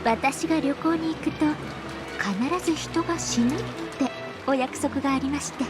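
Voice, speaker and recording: Smug young girl sleuth played by a woman, visual novel style, very high, brisk delivery. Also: music in the background, lightly acted. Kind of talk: Japanese visual novel acting.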